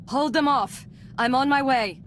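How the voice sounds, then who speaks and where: An actress playing a steady, determined female agent and commander in a video game, composed and direct. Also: high-pitched, unhurried, a little hiss or hum. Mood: alarmed, resolute. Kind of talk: video game cutscene acting.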